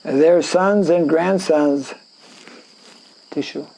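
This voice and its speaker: Slow, gravelly French Canadian man. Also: fairly high pitch, dark tone, rough recording. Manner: halting, with fillers and frequent throat clearing. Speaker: teacher reading and explaining scripture. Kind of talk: scripture class, reading a verse and commenting. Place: indoor class in a rural town.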